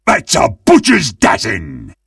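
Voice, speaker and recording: A man voicing a greedy, gleeful, monstrous undead butcher in a video game, with a gravelly, husky British-accented voice and a menacing, theatrical manner. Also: fairly low pitch, huge pitch swings, takes it slowly, a bit bright, no room sound, clean studio sound, far from the mic, full character voice. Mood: gloating relish. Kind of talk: video game character voice lines.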